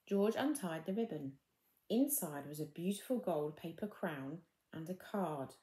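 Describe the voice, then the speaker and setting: A woman's voice: fairly low pitch, expressive, unhurried, warm tone, roomy sound. A woman reading a children's storybook expressively, addressing young listeners like a teacher.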